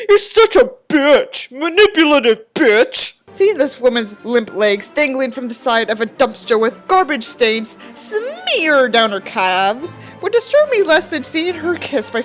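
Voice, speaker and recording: Woman acting out a bitter, melodramatic young narrator, high-pitched. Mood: whiny, angry. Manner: melodramatic, sneering. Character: bitter, contemptuous, self-pitying young narrator of a novel. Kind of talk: exaggerated dramatic reading.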